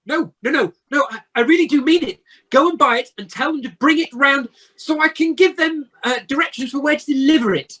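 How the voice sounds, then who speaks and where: An actor playing an emotional, repentant old male miser in an amateur radio play, with theatrical, halting exclamations. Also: sits high, a bit bright, rough recording. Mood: excited, delighted. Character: repentant old male miser, desperate then giddily joyful. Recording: home video-call audio.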